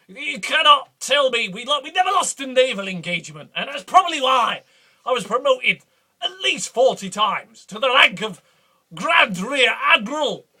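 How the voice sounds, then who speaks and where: Brief: a man voicing a boastful duke, husky, high and swooping, full of bluster. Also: sharp tone, exaggerated voice. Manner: rambling, bombastic, boastful. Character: boastful blustering male Scottish duke, belligerent. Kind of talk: improvised comic character monologue.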